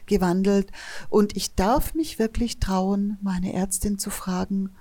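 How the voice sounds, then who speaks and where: A woman's voice: medium pitch, fairly even intonation, slow, warm tone. A woman giving thorough interview answers about health literacy, explaining with everyday examples.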